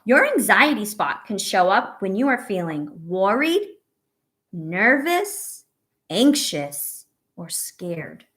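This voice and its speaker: A woman reading a picture book aloud to young children as a teacher, pausing to ask questions and offer encouragement and reassurance. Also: medium pitch, very animated, fairly bright tone. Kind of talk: read-aloud story with asides to young children.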